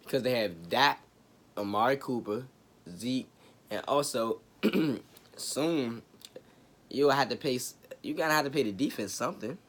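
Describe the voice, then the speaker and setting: A man hosting his own sports talk show, raspy from a cold, chatting casually with slang and jokes. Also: medium pitch, a little slow, long pauses, a bit bright. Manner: laid-back, joking, chatty with slang. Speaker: sports podcast host.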